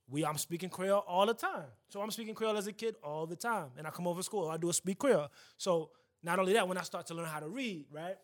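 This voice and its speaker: A young man co-hosting a casual podcast chat, animated and repeating himself as he tells stories. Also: high voice, quick pace. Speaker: podcast co-host.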